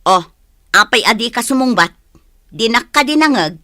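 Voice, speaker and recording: Radio drama mother for a woman: Ilocano accent, stern and sharp-tongued, bright forceful tone, close dry recording. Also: a little high, animated, normal speed, no room sound. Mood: scolding. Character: stern, scolding village mother.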